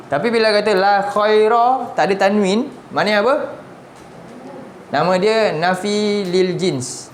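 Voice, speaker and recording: A Malaysian man in his forties leads a Quran lesson in a fairly high voice. He keeps turning to his listeners with rhetorical questions as he explains. The room adds noticeable echo, with a faint hum of noise underneath. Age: 40s. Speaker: religious teacher. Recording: roomy with faint background noise.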